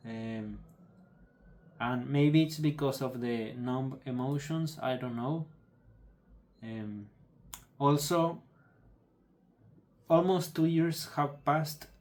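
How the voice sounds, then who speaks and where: A young man whose first language is Spanish, speaking in a halting, subdued way with many restarts as he searches for words. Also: mid-range voice, darkish tone. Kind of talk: personal video update.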